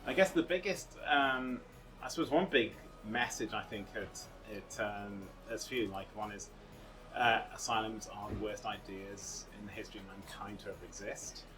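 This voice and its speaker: A man's voice: on the low side, fairly even intonation, normal speed, fairly bright tone. A man in his mid-thirties giving earnest, emphatic, unscripted interview answers outdoors. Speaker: actor. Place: outdoors on a sea front. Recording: distant mic, music behind.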